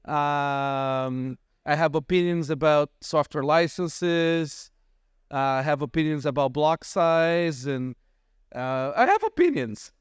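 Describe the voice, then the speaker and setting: A man on a conference panel trades dry, deadpan one-liners. His voice is a little higher than most men's and clear, and the sound is dry and close. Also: takes it slowly, dry recording. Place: conference stage.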